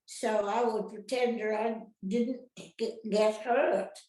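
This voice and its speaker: An older woman, an actress reminiscing casually in an interview, rambling and halting, often turning questions on her listeners. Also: a little high, fairly even intonation, dark tone. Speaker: retired actress being interviewed. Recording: roomy, echoey sound.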